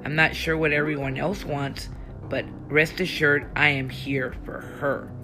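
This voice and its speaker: A woman reading as a fuming friend with a martini. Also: sits low. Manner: bitter, fuming inner monologue.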